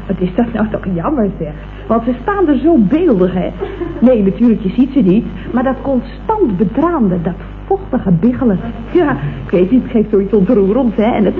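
A woman's voice: mid-range voice, lightly acted. An actress playing a chatty, tactlessly blunt female office employee in a vintage Dutch radio comedy. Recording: muffled, noisy vintage radio recording.

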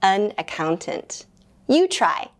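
A young woman teaching beginner English grammar in a small studio, speaking slowly and enunciating clearly into a lapel mic. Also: mid-range voice, big pitch swings. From United States. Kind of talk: scripted beginner grammar lesson.

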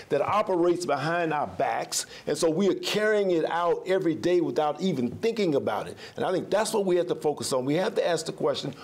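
A man's voice: fairly high pitch, a little mellow. An older man on a studio panel with a lapel mic, speaking emphatically in a lecturing way, with repeated words as he builds points. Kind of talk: panel discussion. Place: studio stage with audience.

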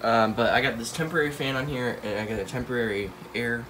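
A man's voice: mid-range voice, steady tone, fast, noisy background. Stuffy-nosed teenage boy vlogging his pets. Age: teens. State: stuffy nose. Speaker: pet channel host. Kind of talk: casual pet care vlog. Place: home room full of tanks. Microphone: handheld phone filming tanks.

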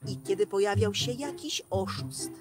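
A woman's voice: mid-range voice, sing-song, normal speed. A woman in her thirties telling a children's fairy tale expressively, as a storyteller. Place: outdoors among trees. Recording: music behind.

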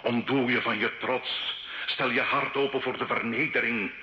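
An actor playing an earnest, fatherly priest, speaking in solemn, measured exhortation in a radio drama. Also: medium pitch, steady tone, natural voice. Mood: urgent, exhorting. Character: priest, earnest fatherly confessor urging a sinner to repent. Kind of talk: theatrical radio drama acting. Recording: dull old radio recording, roomy echo.

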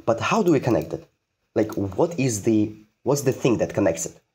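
A man's voice: fairly low pitch, big pitch swings, normal speed, darkish tone, breathy edge. A man giving an informal programming tutorial, repeating phrases for emphasis and posing questions that he answers himself.

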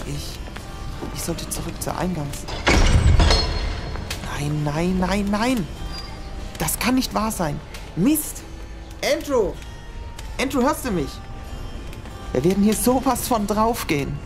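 A woman's voice: mid-range voice, very animated, slow, pauses often. A woman voicing a nervous young male student in an amateur audio drama, fretting and talking to herself. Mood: panicked. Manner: anxious, fretful, talks to herself. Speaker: voice actor in a fan audio drama. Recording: music playing behind voice.

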